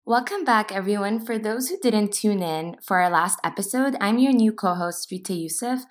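A young woman in her twenties co-hosting an interview podcast, reading a clear intro and then asking organized questions. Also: medium pitch, moderate pace. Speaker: podcast co-host.

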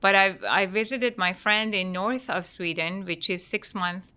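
A middle-aged woman from Iran, an interior designer talking through her career as a podcast guest, relaxed and full of fillers. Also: medium pitch, measured pace, clean tone. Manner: relaxed, many fillers and restarts. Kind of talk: conversational interview answers.

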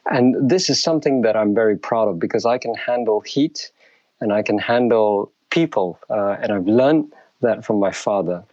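Middle-aged guy from malaysia, slow careful storytelling, dry dull-ish sound. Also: fairly low pitch, darkish tone, no echo. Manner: measured, thoughtful, with frequent restarts. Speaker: guest. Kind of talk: reflective interview storytelling.

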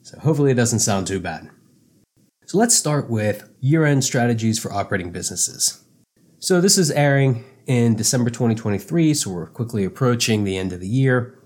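A man's voice: medium pitch, animated, moderate pace, darkish tone, husky. A man hosting a podcast, explaining tax planning in a casual, practical way while getting over a cold. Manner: practical, advice-giving, with restarts. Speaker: podcast host, accountant.

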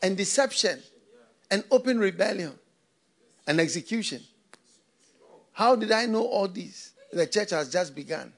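Man, older, from Ghana, pastor, sermon, lively pitch, repeats phrases. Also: a little slow, long pauses. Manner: preaching with repetition and rhetorical questions.

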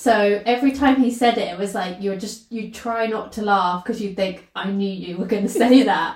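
A woman's voice: medium pitch, moderate pace, darkish tone. A woman with a British accent, from the north of England, hosting a casual podcast conversation in a friendly, encouraging way. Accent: British English. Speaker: podcast host, HR consultant. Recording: roomy echo.

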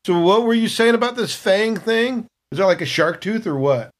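A man's voice: sits high, animated, normal speed, voice pushed up. A man playing a rowdy, joking space marine with a brash, casual way of talking in a tabletop game. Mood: gruffly upbeat.

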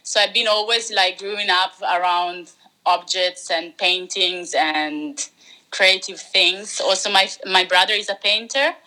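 A young woman talks easily about herself as a podcast guest, her sentences loose and strung together with fillers. Her voice is very bright and sharp, and the sound comes through as a remote call. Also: medium pitch, steady tone, unhurried, poor audio. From Italy. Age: young adult. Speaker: podcast guest, art graduate. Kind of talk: casual conversational interview.

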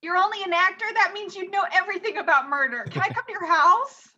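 A woman's voice: very high-pitched, fast, sharp tone, muffled recording. A woman playing a chummy, nonchalant stranger and fan in improvised comedy. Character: chummy fan stranger curious about murder.

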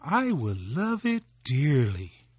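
A man voicing a gentle, kind poor woodman's wife who longs for a child, in a tender manner. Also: mid-range voice, wildly swooping pitch, slow, husky, dry recording, exaggerated voice. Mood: wistful.

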